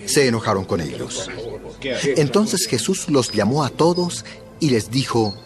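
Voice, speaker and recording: Male Bible story narrator over clear music. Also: medium pitch, sing-song, measured pace. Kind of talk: dramatized scripted Bible narration.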